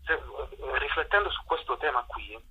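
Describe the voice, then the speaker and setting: A man's voice: mid-range voice, animated, normal speed, slightly rough. A man being interviewed as a guest playwright and actor, talking reflectively and searching for words, often repeating himself. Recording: dull lo-fi phone or radio line.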